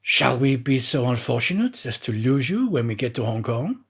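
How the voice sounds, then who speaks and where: Man putting on a bantering manservant, husky-voiced. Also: medium pitch, big pitch swings, moderate pace. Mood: teasing, jovial. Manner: bantering, jovial. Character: bantering, hearty French manservant, sly and teasing.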